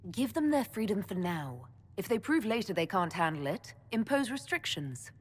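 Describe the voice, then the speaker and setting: An actress playing a resolute, diplomatic heroine in a video game cutscene, measured, earnest and decisive. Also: female voice, mid-range voice, very animated, breathy edge. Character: resolute, diplomatic female hero and leader. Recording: long hall reverb under music.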